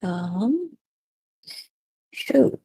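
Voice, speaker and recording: A woman's voice: medium pitch, big pitch swings, very slow, creaky, warm tone, breathy edge. A woman chatting casually and hesitantly as a podcast panelist. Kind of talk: casual chat.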